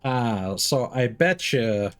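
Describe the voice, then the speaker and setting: A man's voice: medium pitch, expressive, slow, fairly bright tone. A man streaming a game with casual commentary, thinking aloud as he plays.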